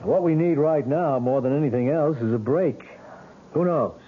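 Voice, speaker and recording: An actor playing a patient, skeptical police detective in a vintage radio drama, speaking in a measured, probing, gently placating way. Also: male voice, on the high side, narrow, tinny audio, a little hiss or hum, natural voice. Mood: explaining, placating. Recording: band-limited old radio recording with music.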